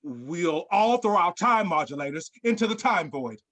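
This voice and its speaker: Grandiose professor, bright lively voice. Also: male voice, sits high, normal speed. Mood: urgent, resolute. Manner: grandiose, dramatic, jargon-heavy. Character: Professor Bold, grandiose scheming sci-fi scientist. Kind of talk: dramatic reading of a sci-fi screenplay.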